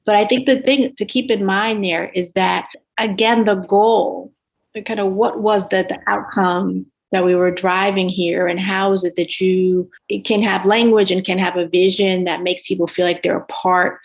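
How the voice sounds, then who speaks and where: A woman's voice: medium pitch, steady tone, normal speed, vocal fry, low-quality recording. A woman speaking earnestly and reflectively as a panelist in a discussion.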